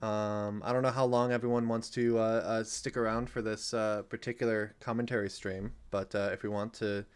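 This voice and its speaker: A man's voice: on the low side, flat delivery, a little fast, few pauses, clean tone. A man chatting casually as a cast member on a commentary stream. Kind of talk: casual chat.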